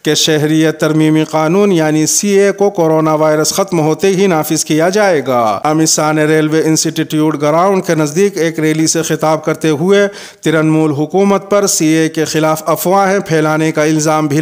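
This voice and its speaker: A male news presenter with a fairly high, very clean and smooth voice. He reads scripted bulletins formally and keeps a measured delivery. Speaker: news presenter and host of the show.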